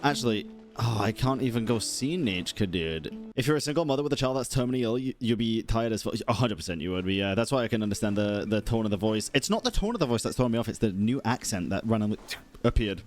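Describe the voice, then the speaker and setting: Animated young British man streaming. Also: lowish voice, quick pace, soft background music. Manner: sweary, blunt, amused. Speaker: game streamer. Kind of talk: casual live reaction commentary.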